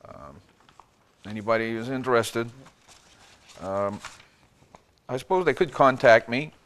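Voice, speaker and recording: An older man, mid-pitched, interviews his guests in a friendly way. His questions often start, stop and restart. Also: unhurried, a bit bright. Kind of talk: conversational interview.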